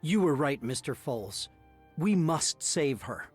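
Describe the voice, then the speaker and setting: A man's voice: a little high, big pitch swings, measured pace, over music. A man playing a stern but loving father and upright judge, with a grave, dramatic delivery in a mystery game. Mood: urgent, accusing.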